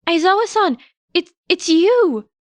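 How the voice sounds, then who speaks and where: A woman plays an anxious, caring teenage boy in an audiobook. The voice is pitched well up and stumbles over its words with emotion. The sound is dry. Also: lively intonation, no room sound. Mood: stunned joy. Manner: stammering, halting, breathless rambling. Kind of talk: audiobook character voice.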